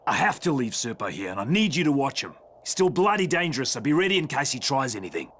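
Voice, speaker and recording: A man playing an angry, determined survivor hero, forceful and earnest, in a video game cutscene. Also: mid-range voice, very animated, fast talker, husky. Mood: firm. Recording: music behind the voice.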